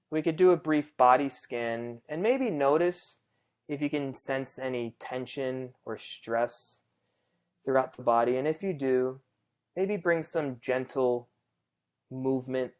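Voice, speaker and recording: Male meditation guide, medium pitch, smooth and clear. Conversational, reassuring, takes his time. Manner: gentle, reassuring, unhurried with long pauses.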